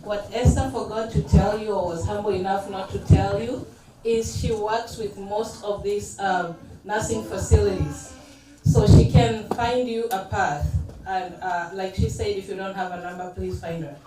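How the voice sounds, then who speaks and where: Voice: mid-range voice, fairly even intonation, moderate pace. Emcee in an echoey noisy hall. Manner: brief, introducing speakers. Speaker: master of ceremonies. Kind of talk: ceremony hosting. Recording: echoey hall from far off, loud noise.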